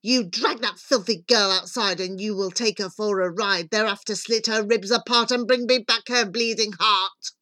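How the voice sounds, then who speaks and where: A woman voicing a spoiled, vain storybook queen with a violent temper, shouting imperiously. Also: mid-range voice, steady tone, moderate pace, few pauses, close to an everyday voice. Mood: furious.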